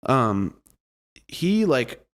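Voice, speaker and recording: Young man, monotone delivery, casual slang, mid-pitched voice, podcast chat. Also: extremely slow. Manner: loose, slangy, swears freely. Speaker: guest musician.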